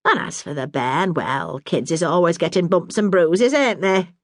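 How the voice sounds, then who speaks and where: A woman voicing a middle-aged mother, bossy but warm-hearted, in a brisk, scolding dialect. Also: on the low side, dry recording, clean studio sound, natural voice. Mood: musing.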